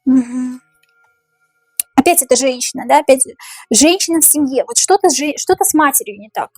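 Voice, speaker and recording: A woman doing a tarot reading, repeating each question slowly and musing aloud over the cards, her voice tiring and going hoarse. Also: high voice, a little mellow, no room sound, faint music behind. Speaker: tarot reader.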